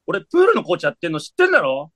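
A man playing a hot-tempered young man in a monster costume, snapping back with rough, quick retorts in a comedic radio drama. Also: high-pitched, huge pitch swings, bright, forceful tone, no echo, exaggerated voice. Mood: indignant.

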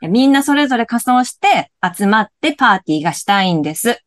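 A woman's voice: a little high, sing-song, quick pace. An actress in a radio drama playing a cheerful young woman who keeps pressing her friends to join a party. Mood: eager. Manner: insistent, cheerful, persuasive. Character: eager young woman planning a party, pushy.

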